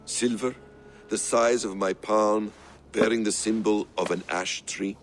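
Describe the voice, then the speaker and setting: A man playing a calm, secretive master assassin in a video game, speaking in a measured, cryptic, instructive way. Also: fairly low pitch, a little hiss or hum, lightly acted. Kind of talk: video game dramatic acting.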